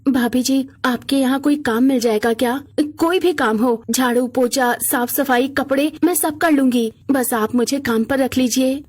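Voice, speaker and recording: A woman plays a poor young mother in a cartoon moral tale. She is devoted and worried, speaking earnestly in a high, husky, pleading voice. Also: unhurried, few pauses.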